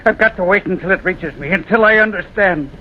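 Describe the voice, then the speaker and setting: A man's voice: high-pitched, brisk, lightly acted. Man acting a haunted, desperate railroad signalman, muffled recording. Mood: anguished, urgent. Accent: American. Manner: fraught, intense, repeats phrases insistently. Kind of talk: old-time American radio drama acting. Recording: muffled, noisy vintage radio recording.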